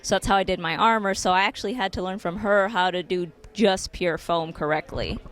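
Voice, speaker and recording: An easygoing woman explaining her sewing work at a convention. Her voice is mid-pitched and ordinary, and she feels her way through answers with frequent pauses. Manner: easygoing, hesitant with fillers. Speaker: cosplayer guest. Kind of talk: casual interview answers. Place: at a fan convention.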